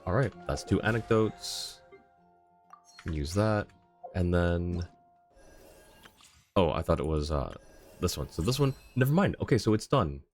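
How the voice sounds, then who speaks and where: Low-voiced man chatting on a stream. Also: animated, unhurried, pauses often, darkish tone, faint music behind. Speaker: game livestreamer. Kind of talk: casual livestream chat.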